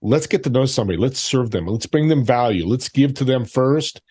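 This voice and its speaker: A man's voice: mid-range voice, lively intonation, a little fast, a little mellow, husky, no room sound. A man with a mid-range voice gives conversational interview answers. He is plain-spoken and tends to list his points. The recording is very dry. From United States. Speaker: podcast host being interviewed.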